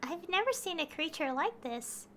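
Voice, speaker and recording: A woman's voice: very high voice, very animated, moderate pace, fairly bright tone, a little hiss or hum. A woman streaming a video game, casually reading lines aloud and reacting over game music.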